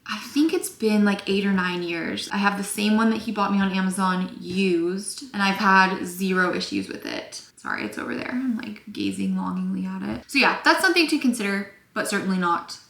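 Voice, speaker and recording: A young woman teaching sewing to camera in a chatty, casual way, a little scratchy-voiced and quick to apologize. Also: medium pitch, lively intonation, vocal fry, a bit bright. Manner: chatty, apologetic, lots of fillers. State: slightly scratchy voice. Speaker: sewing instructor. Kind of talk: casual tutorial talk to camera. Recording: echoey room.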